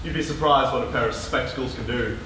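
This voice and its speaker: A man's voice: mid-range voice, fast, close to an everyday voice. A man acting a vain, bumbling superhero who poorly hides as a reporter, boastful and stammering in a broad comic stage style. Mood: smug, confiding. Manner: boastful, stammering, blurting and backtracking. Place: live stage performance in a hall. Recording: echoey hall, distant camera mic, noisy.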